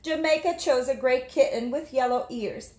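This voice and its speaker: A woman with a high voice reads a children's storybook aloud like a teacher. She is expressive and instructive, addressing young listeners directly. The recording has a noticeable room echo. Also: moderate pace. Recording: echoey room.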